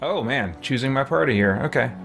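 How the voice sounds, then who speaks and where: A man's voice: medium pitch, animated, a little fast, creaky, over loud music. A middle-aged man giving casual let's play commentary as a gaming streamer, indoors. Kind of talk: casual let's play commentary, reading dialogue choices aloud. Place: small indoor room with shelves.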